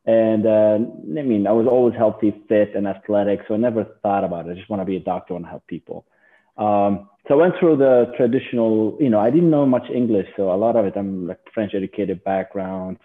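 Man, doctor guest, halting and searching, lowish voice, phone-line sound. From Lebanon. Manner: halting, many fillers and restarts. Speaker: guest physician. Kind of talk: casual interview.

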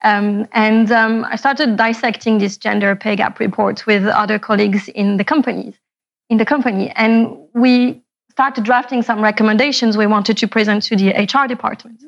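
A woman from Morocco speaking on a panel, measured and pausing with fillers. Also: mid-range voice, steady tone, gravelly, lo-fi sound. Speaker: panelist and engineer. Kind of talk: panel discussion.

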